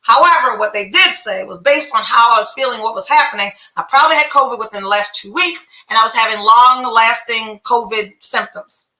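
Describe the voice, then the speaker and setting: A woman hosts her own podcast and talks straight to the listener in a blunt, profane, animated way. Her voice sits a little high and has a bright, forceful edge, with a congested, phlegmy sound in her throat. The room around her has a noticeable echo. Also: a little fast, hardly any pauses. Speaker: podcast host. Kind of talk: casual storytelling monologue.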